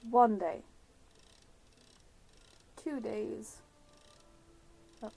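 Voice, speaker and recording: A woman's voice: a little high, drawn-out words, gravelly, mellow, a little hiss or hum. A woman casually reacting during a video game playthrough, wry and hesitant as she thinks aloud. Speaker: game streamer.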